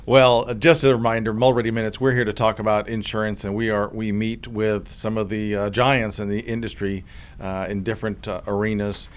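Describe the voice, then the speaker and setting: A man with a fairly low voice hosts a podcast interview. He talks in an easygoing, conversational way and fills his pauses with uh and um. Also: smooth. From Oklahoma. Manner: relaxed, filler-heavy delivery with frequent uh and um. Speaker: podcast host, insurance commissioner.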